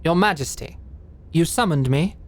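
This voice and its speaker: A woman playing a composed, dutiful lady knight in a fantasy audio drama, speaking in a formal, measured, deferential way. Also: low voice, animated. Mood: guarded, deferential.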